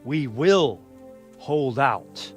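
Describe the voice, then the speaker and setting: A resolute medieval lord leading men to battle in a video game. He speaks with firm authority at a middling pitch, with faint music behind him. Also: animated, drawn-out words. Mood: commanding. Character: commanding medieval nobleman, battle leader, resolute. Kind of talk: dramatic video game acting.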